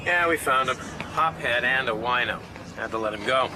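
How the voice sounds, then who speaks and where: An actor playing a brusque, slangy police detective giving a clipped report in a radio drama. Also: male voice, medium pitch, normal speed, natural voice. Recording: clear urban ambience and vehicle noise.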